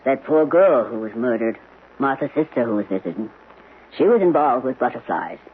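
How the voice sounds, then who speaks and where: A man's voice: mid-range voice, animated, moderate pace, slightly rough. An actor in a radio drama playing a blunt, probing male acquaintance with a folksy, questioning manner. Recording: muffled, tinny old radio recording.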